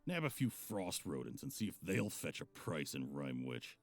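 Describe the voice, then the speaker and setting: An actor playing a sardonic, haughty monster hunter and bickering lover in a visual novel game. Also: male voice, a bass voice, very animated, moderate pace, faint music behind, heavily acted. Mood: scornful, exasperated. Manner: sarcastic, haughty, old-fashioned wording.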